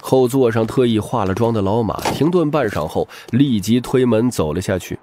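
A man's voice: medium pitch, animated, moderate pace, noisy background. A man narrating a novel as scripted audiobook storytelling, with a dramatic delivery.

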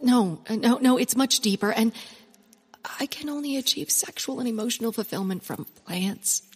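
Husky, earnest, awkward scientist. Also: female voice, a little high, animated, moderate pace, long reverb tail. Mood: confessional. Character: earnest, awkward scientist with embarrassing secret, dryly exasperated. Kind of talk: comic audio drama acting.

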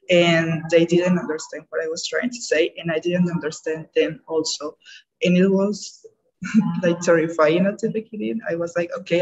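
A woman's voice: fairly low pitch, husky, poor audio. A young woman answering as a guest on an online webinar, earnest and plainly phrased, over an earphone mic. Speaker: guest speaker. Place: indoor room, video call. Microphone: earphone mic on video call.

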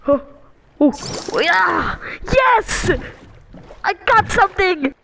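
A woman doing an excitable, slangy amateur voice-over for animated shorts, in a noisy homemade recording. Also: very high-pitched, drawn-out words, vocal fry. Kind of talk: animated fan dub, acted exclamations and casual chatter.

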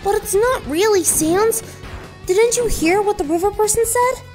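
A woman's voice: extremely high pitch, unhurried, darkish tone, soft background music. A woman voicing an earnest young child, pleading and insistent, in a comic dub. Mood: urgent pleading. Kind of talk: fan comic dub voice acting.

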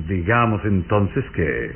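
An actor playing a suave, mocking vampire count, speaking deliberately in a vintage radio drama. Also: male voice, deep voice, creaky, a little hiss or hum, exaggerated voice. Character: sinister, mocking aristocratic count, pale tall vampire. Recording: phone-like vintage radio recording, music behind.

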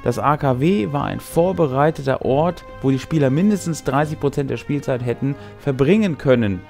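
A man's voice: medium pitch, lively intonation, moderate pace, vocal fry, a little mellow. A man in his thirties, a game master giving explanatory commentary on a tabletop game to camera. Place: small indoor room with brick wall. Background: music.

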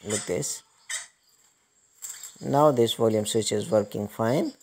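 A man giving step-by-step hands-on repair instructions in a halting, repetitive way. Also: on the low side, darkish tone. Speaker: repair tutorial presenter.

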